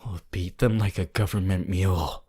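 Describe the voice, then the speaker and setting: A voice actor playing a possessive, unhinged yandere lover in an ASMR roleplay, slow, intimate and breathy, swinging between tender and manic. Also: low-pitched, fairly even intonation. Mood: bitter, bloodthirsty. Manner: slow, drawn-out, intimate, swelling from whispers to loud outbursts.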